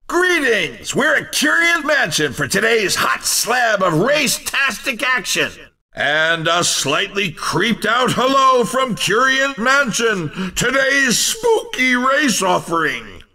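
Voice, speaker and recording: An actor playing an excitable, upbeat male race commentator in a video game, with showy, hyped announcer delivery. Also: very high voice, far from the mic, exaggerated voice. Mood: hyped, welcoming.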